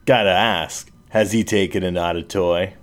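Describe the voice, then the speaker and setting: Man as female retriever, adult, low voice, dry, curious, teasing, audiobook character. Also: steady tone, unhurried. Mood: wry, curious.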